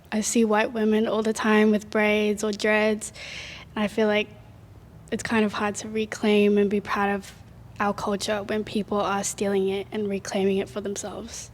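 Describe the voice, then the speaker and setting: A young woman speaking hesitantly in a panel discussion, soft and unsure. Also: mid-range voice. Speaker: panel guest. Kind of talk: casual discussion. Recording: long reverb.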